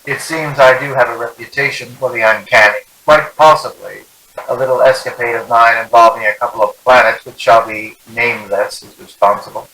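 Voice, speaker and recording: A man's voice: medium pitch, bright, forceful tone, rough recording. A man playing a grand, wry celebrity host introducing a vintage radio thriller in a theatrical, formal manner. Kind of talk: 1940s radio presenting.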